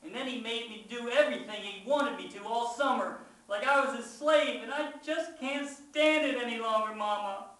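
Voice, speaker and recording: A man's voice: very high-pitched, normal speed, a bit bright, pitched above the performer's own voice. A man voicing an upset young farm boy in a live-style story, with folksy, indignant outbursts. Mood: tearful pleading. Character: aggrieved young farm boy, yelling and tearful. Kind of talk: dramatic first-person storytelling. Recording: very echoey room, far from mic.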